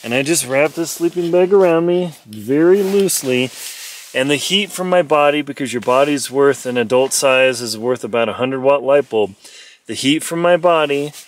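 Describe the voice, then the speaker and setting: A middle-aged man, an explorer and adventurer, giving a lively, playful how-to talk from inside a small tent, filmed on a phone at arm's length. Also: medium pitch, normal speed, recorded from a distance. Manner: lively, playful, mock-dramatic asides. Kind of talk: casual how-to vlog. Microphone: phone camera held at arm's length.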